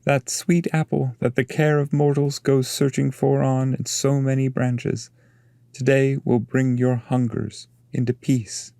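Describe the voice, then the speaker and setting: Man reading as a wise fatherly guide, raised pitch. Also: flat delivery, unhurried, vocal fry, dark tone, dry recording, clean studio sound. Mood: solemn, encouraging. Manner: earnest, coaxing. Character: wise fatherly male poet guide, coaxing and reassuring. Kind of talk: dramatic literary reading.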